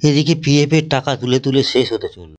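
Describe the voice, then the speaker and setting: A man's voice: mid-range voice, normal speed, close to an everyday voice. A man playing a worried, considerate adult son in a cartoon story, talking in an earnest, troubled way. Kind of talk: Bengali cartoon story acting.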